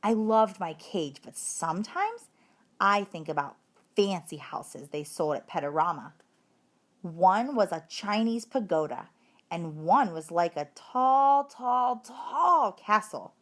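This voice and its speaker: A woman reading a children's story aloud in an expressive, emphatic narrating style. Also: mid-range voice, slow. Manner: animated, emphatic delivery. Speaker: audiobook reader.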